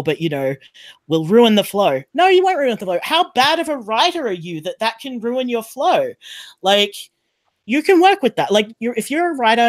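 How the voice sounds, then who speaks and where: A man's voice: sits high, no room sound, studio quality. A man with an Australian accent talking casually and emphatically as a panelist on a podcast.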